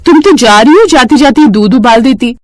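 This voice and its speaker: Woman voicing a breezy, high-pitched bossy young housewife. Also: animated, normal speed, dry recording, close to an everyday voice. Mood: casual. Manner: chatty, bossy but friendly. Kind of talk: Hindi cartoon story dialogue.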